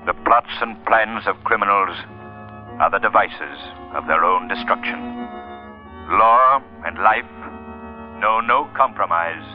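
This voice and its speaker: A mysterious crime-fighting avenger from an old radio serial. His low voice is deliberate, grave and menacing, heard through a dull, narrow broadcast with music underneath. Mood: grave, ominous. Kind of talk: 1930s-40s radio drama acting.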